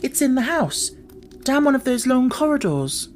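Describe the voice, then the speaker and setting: Man as an insistent, high-pitched little girl. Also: sing-song, normal speed, a little hiss or hum. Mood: urgent, questioning. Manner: urgent, insistent. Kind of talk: audiobook character voice.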